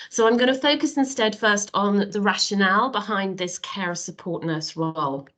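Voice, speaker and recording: A woman speaks with a stuffy, nasal sound from a cold. She presents as a professor over a video call. Her delivery is measured and explanatory. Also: medium pitch, fairly bright tone. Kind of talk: online webinar presentation.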